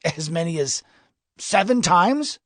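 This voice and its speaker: A man's voice: on the high side, lively intonation, slow pace, a bit bright, no room sound, clean studio sound, heavily acted. An actor playing a male disciple who earnestly and deferentially questions his master in a dramatized scripture reading. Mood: earnest questioning.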